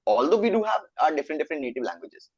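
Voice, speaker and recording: Indian-accented male instructor, slightly high voice, live online lecture, dry muffled audio, keeps asking students questions. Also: very animated, rapid-fire, darkish tone, slightly rough, dry recording. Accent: Indian English. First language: Hindi. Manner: explanatory, asks students frequent check questions. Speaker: networking instructor.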